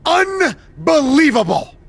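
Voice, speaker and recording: An actor playing a vain, easily offended Hollywood star in a game cutscene, haughty, exasperated and emphatic. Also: male voice, extremely high pitch, very animated, slow, gravelly, fairly bright tone, dry recording, heavily acted. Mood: indignant. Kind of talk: comedic video game cutscene acting.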